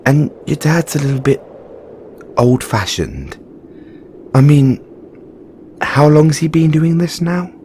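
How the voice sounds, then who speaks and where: Man playing a frank, caring Christmas elf, expressive. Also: a little high, slow, long pauses, slight background noise. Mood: understanding. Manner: earnest, chiding yet reassuring, informal. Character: frank, caring male Christmas elf, weary but supportive. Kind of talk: second-person audio roleplay monologue.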